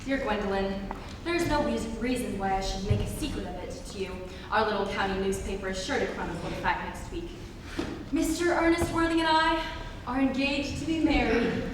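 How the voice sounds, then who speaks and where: A woman's voice: mid-range voice, brisk, natural voice. A young woman playing a sweet, self-assured Victorian country girl with demure, formal politeness, in a dramatic reading. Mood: politely combative. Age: young adult. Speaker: student reader. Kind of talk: dramatic reading of a stage comedy. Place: large reverberant room. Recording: echoey hall from far off, noisy.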